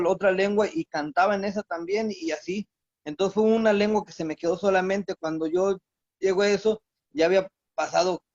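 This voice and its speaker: Hesitant man giving testimony, muffled audio. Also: high-pitched. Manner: halting, many restarts and fillers. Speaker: church member giving testimony. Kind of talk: personal spiritual testimony.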